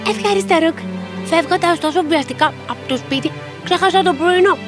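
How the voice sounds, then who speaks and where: Woman playing a high-voiced, eager cartoon girl. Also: over music. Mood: cheerful. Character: bright, proud, inventive young girl, devoted to her father. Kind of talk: cartoon fairy tale dialogue.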